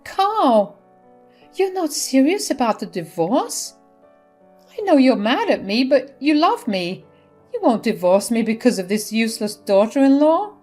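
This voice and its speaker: A woman's voice: high-pitched, very animated, measured pace, darkish tone, voice pushed up, exaggerated voice. A woman voicing a desperate middle-aged mother-in-law who pleads and whines, over faint music. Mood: shocked.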